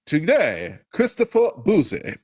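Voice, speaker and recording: A man's voice: a little high, huge pitch swings, unhurried, a little mellow, slightly rough. A man giving a dramatic, mock-grand reading of a lawsuit. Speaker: guest commentator.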